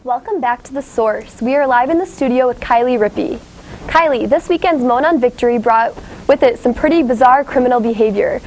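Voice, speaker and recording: A woman with a fairly high voice anchors a student newscast. She reads from a script, and there is faint noise behind her. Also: a little fast, darkish tone, poor audio. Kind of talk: scripted news reading.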